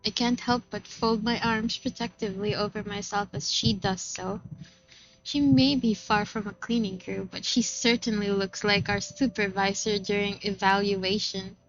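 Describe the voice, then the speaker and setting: A woman's voice: mid-range voice, fairly even intonation, normal speed, highs cut off. A woman streamer reading visual novel narration aloud, with casual reactions of her own.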